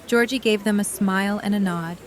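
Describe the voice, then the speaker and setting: A woman reading the narration of an audio drama in an even storytelling manner. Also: mid-range voice, brisk, over a noisy crowd. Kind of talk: scripted narration.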